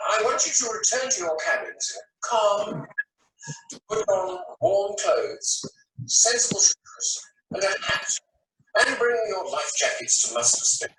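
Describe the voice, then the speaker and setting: A man playing a formal cruise ship captain making a measured announcement in an amateur radio drama, on a video call. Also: high voice, sharp tone, full character voice. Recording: roomy, distant, faintly noisy video call.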